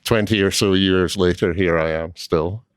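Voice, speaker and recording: A man's voice: low voice, steady tone, moderate pace, smooth, no room sound. A middle-aged man from Scotland with a Scottish accent, a guest in a casual podcast interview, telling his story with dry, self-deprecating humor. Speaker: guest, cooperage co-owner.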